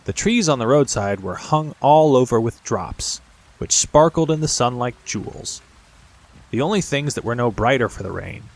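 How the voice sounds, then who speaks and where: Expressive male storybook narrator, animated intonation. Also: mid-range voice, rough recording. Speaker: audiobook narrator.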